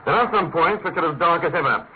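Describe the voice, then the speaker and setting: An actor playing a shrewd, imperious master detective in a classic radio drama, incisive and urgent. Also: male voice, on the high side, fast, natural voice. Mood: confident. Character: brisk, commanding, shrewd male master detective. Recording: tinny, narrow-band old radio, faint noise.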